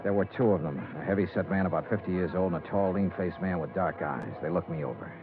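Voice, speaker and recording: An actor playing a tough, sardonic insurance investigator in a 1950s radio detective drama, clipped and wisecracking. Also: male voice, low voice, quick pace, close to an everyday voice. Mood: weary narration. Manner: clipped, wisecracking, hard-boiled. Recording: muffled 1950s radio recording with music.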